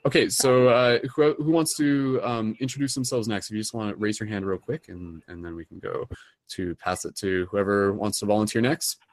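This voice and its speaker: A young man with a lowish voice hosts a class, rambling along with filler words everywhere. The sound is dry and close, with no room in it. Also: moderate pace, no echo. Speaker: host. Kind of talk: casual online class hosting.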